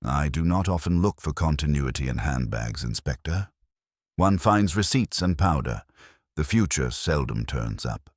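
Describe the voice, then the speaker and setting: A deep-voiced man as a prim, tidy woman. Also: expressive, moderate pace, no echo, studio quality. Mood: prim, composed. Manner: polite, precise, genteel. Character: prim, tidy, politely fussy woman, bereaved niece.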